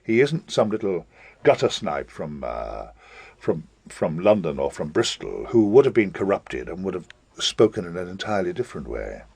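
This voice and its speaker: A man with a lowish, somewhat rough-edged voice talks in an interview. He is discursive and literary, placing emphasis on chosen words. The sound is dry and close. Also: sing-song, moderate pace, a little mellow, no room sound. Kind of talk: casual interview commentary.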